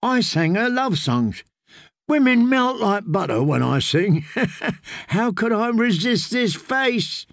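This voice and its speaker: A man's voice: high-pitched, sing-song, slow, creaky, no room sound, clean studio sound, voice pushed up, exaggerated voice. A man voicing a cocky, drunken young male singer, boisterous and teasing, in an audiobook. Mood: boastful, teasing.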